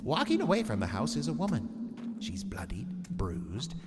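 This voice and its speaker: A man's voice: mid-range voice, wildly swooping pitch, moderate pace, a little hiss or hum. A man playing a sinister, gleeful old warlock who narrates horror tales theatrically in a radio drama. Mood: ominous storytelling. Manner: theatrical, macabre, mockingly cheerful. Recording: long cavernous reverb, music behind. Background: music.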